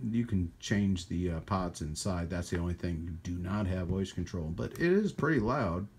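A man hosting a hobby radio channel in a casual, rambling hands-on demonstration, with folksy trailing asides and a husky voice. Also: fairly low pitch. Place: indoors at a radio workbench.

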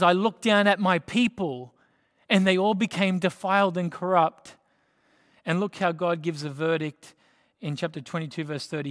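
An Australian man in his thirties preaches from a lectern, his voice sitting a little high for a man. He is earnest and emphatic, and he leans on dramatic pauses. The sound carries the long reverb of a church hall. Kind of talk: sermon. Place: church stage behind a lectern.

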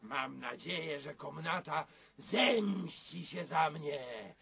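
An actor playing a vengeful, mocking castle villain in a radio drama, with theatrical, drawn-out speech. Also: male voice, on the high side, expressive, vocal fry, a crowd in the background, heavily acted. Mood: menacing, smug. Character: gloating, vengeful castle villain with mad laughter.

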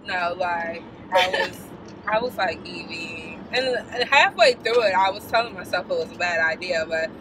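Low-pitched young American woman, noisy car. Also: animated, moderate pace. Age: 20s. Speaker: vlogger. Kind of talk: casual chat, storytelling. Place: inside a moving car. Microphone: dashboard camera. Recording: noisy moving car, distant mic.

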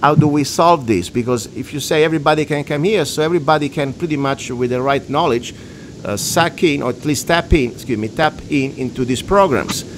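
A man's voice: fairly high pitch, brisk, slight background noise. A man hosting a talk radio show, asking frank, conversational questions. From Italy. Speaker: radio host.

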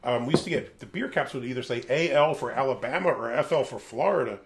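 Gravelly-voiced guy in his 40s hosting a beer review, joking around and rambling in a small room. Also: mid-range voice, quick pace. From American Northeast. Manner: animated, jokey, rambling asides. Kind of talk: casual chat.

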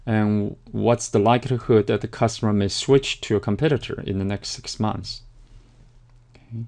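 Man, lecturer, low pitch, hesitant with fillers. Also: fairly even intonation, moderate pace, creaky, darkish tone. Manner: hesitant, many fillers and restarts. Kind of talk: recorded slide lecture.

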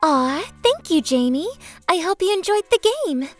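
Very high, sweet princess. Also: female voice, expressive, moderate pace, faint music behind. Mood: gracious. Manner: dainty, polite. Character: sweet, gracious, girlish cartoon princess. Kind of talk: fan cartoon dialogue.

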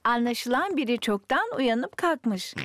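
A high-voiced cartoon mummy pig. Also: female voice, very animated, slow pace, heavy background noise. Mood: warmly pleased. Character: gentle, motherly mummy pig. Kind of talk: dubbed children's cartoon acting. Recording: loud music mixed underneath.

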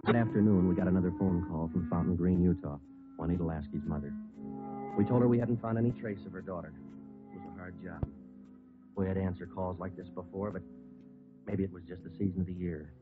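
An actor playing a terse, matter-of-fact police detective in an old radio crime drama, clipped and deadpan, narrating his case. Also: low voice, fairly quick, lightly acted. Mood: deadpan, grave. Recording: muffled old radio recording, music behind.

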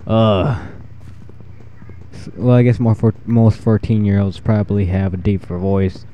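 Low, rambling fourteen-year-old gamer boy. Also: flat delivery, unhurried, highs cut off, a little hiss or hum. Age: 14, teenage boy with newly deepened voice. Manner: rambling, self-deprecating, trails off. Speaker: gaming channel host. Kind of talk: casual gameplay commentary.

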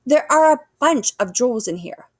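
A woman's voice: a little high, lively intonation, normal speed, no room sound, clean studio sound, voice pushed up. A woman voicing a blunt, curious American boy in a storybook, plain-spoken and skeptical. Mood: insistent. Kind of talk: children's storybook character voice.

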